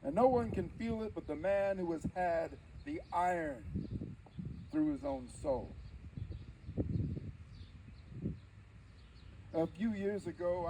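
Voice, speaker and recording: A man playing a frail, devout old formerly enslaved preacher in solemn, halting theatrical oratory before an audience. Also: on the high side, far from the mic, noisy background, lightly acted. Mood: grave, reflective. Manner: solemn, formal, halting oratory with dramatic swells. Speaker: live interpreter. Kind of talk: theatrical first-person historical oration.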